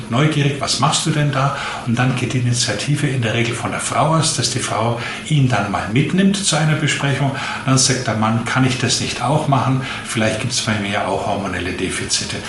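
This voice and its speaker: Late-fifties male doctor, even explanatory delivery, roomy sound, faint hiss. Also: medium pitch. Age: 50s. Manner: measured, explanatory, long flowing sentences. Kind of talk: interview answers.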